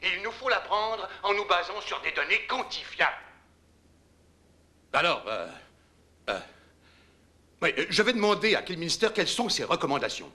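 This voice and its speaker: A man's voice: high voice, sing-song, moderate pace, long pauses, sharp tone, full character voice. A man acting an impatient, combative space programme manager in a dubbed docudrama, arguing with sarcasm and pressing his point. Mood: firm. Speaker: meeting official challenging engineers.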